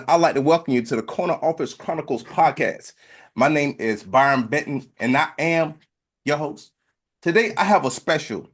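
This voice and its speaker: A man hosting an interview podcast, introducing his guest with emphatic, upbeat phrasing and some hesitation. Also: on the high side. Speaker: podcast host.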